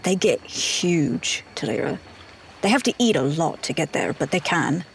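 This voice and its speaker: A woman's voice: low voice, sing-song, a little slow, vocal fry, slight background noise. A woman playing a tabletop roleplaying game, talking casually in character as in everyday conversation.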